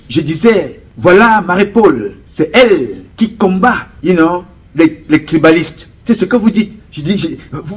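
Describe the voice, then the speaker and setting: Emphatic Cameroonian man with a husky voice. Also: mid-range voice. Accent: Cameroonian French. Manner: emphatic, rising to urgent appeals; repetitive oratorical cadence. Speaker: political commentator. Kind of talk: impassioned political address.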